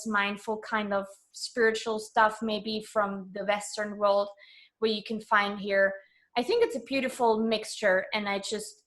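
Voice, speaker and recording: Higher-voiced woman from Germany, chatting. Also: fairly bright tone. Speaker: interview guest. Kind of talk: casual conversational interview.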